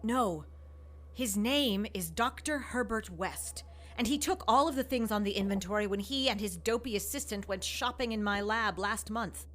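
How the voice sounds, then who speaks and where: An actress playing a proud, prickly scientist on the run, who speaks in an imperious, sarcastic way. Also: female voice, medium pitch, moderate pace, background noise, close to an everyday voice. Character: proud, prickly woman scientist, starving fugitive. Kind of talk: audio drama acting.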